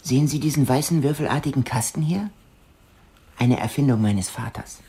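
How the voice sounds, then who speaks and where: An actor playing a dreamy, obsessive young eccentric musician in a radio drama, half-whispering in a breathy, intimate voice. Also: male voice, mid-range voice, normal speed, warm tone. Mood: hushed, focused.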